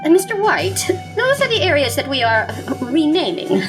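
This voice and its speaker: A woman's voice: high-pitched, big pitch swings, moderate pace, music in the background. A woman playing a gleefully sly, scheming government official in an audio drama, exaggerated and airily condescending. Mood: smugly sly.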